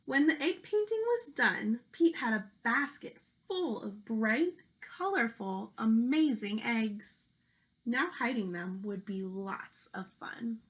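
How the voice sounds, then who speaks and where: A woman teacher reading a children's picture book aloud, speaking deliberately to young listeners. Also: fairly high pitch, expressive, low-quality recording. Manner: slow, deliberate delivery addressed to young children. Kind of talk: children's storybook read-aloud.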